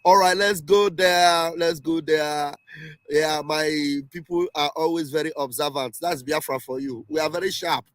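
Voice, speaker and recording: A man talking on his own livestream, slightly high for a man and very insistent, repeating each point. The sound is dry and close, with no room around him. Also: steady tone, unhurried, no room sound. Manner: emphatic, repeats himself often. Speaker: livestream host. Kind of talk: casual livestream commentary. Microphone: home livestream setup.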